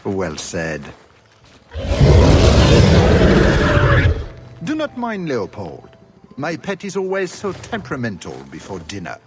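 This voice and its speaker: An actor playing a suave, theatrical, sardonic duke in a video game, speaking with flowery, mocking courtesy. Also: male voice, medium pitch, huge pitch swings, normal speed, over loud music. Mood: playful, courtly. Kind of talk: video game cutscene acting.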